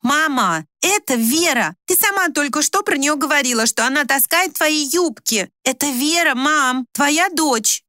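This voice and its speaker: A woman's voice: high voice, moderate pace, a bit bright, no room sound, clean studio sound, pitched above the performer's own voice. A woman voicing an exasperated adult daughter in an audiobook, pleading and reasoning with a difficult mother. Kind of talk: dramatised audiobook reading.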